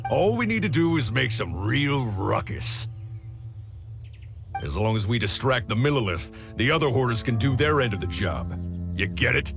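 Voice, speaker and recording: An actor playing a cocky, crude bandit boss who talks in slang and swaggers, in a game cutscene. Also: male voice, medium pitch, sing-song, moderate pace, gravelly, over music. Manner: slangy, swaggering, stammers when caught.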